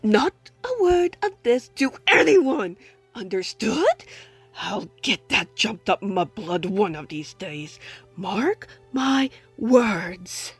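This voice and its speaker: Woman voicing a husky, spiteful schoolboy bully. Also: medium pitch, big pitch swings, takes it slowly, faint music behind, heavily acted. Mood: vengeful. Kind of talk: dramatic audio play acting.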